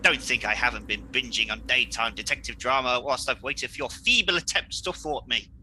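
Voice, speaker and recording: A man's voice: medium pitch, brisk, pushed and bright, slight background noise, poor audio. A man playing a flamboyant, egotistical villain in a comedy radio play, pompous, petulant and melodramatic. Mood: smug, taunting.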